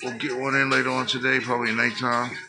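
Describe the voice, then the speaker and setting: A man's voice: medium pitch, little pitch movement, quick pace, low-quality recording. An American man casually narrating a hobby show-and-tell in slangy, unscripted, laid-back phrasing, recorded indoors on a handheld camera. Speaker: hobbyist vlogger. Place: indoors at home, speaking from behind the camera.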